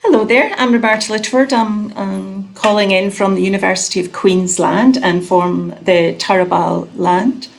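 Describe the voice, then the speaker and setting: A Scottish woman in her fifties, a nurse researcher, chatting warmly about her career on a video call. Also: medium pitch, steady tone, a little slow, vocal fry, few pauses, a little hiss or hum. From Scotland.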